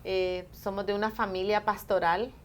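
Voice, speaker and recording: A woman's voice: mid-range voice, flat delivery, normal speed, slight background noise. A woman from Honduras, a church elder, giving an earnest, devout testimony in a TV studio interview. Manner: earnest, devout, answers with "amén".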